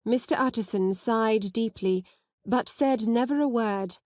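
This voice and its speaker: A woman's voice: fairly high pitch, unhurried, a little mellow, no room sound. Woman narrating literature, close and dry. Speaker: audiobook narrator.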